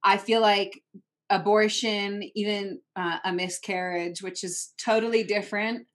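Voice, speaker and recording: A woman's voice: medium pitch, fairly even intonation, unhurried. Chatty woman host in her thirties. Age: 30s. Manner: chatty, encouraging, laughs often. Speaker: podcast host. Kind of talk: casual interview chat. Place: living room with plants, seated on sofa. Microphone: tabletop podcast mic.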